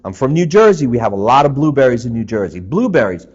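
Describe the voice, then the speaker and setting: Chatty guy doctor doing tv health tips, bouncy pitch, kinda muffled audio. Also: fast talker, slightly rough. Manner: lively, chatty, addresses viewers as folks. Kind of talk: TV health segment to camera. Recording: dull lo-fi TV audio.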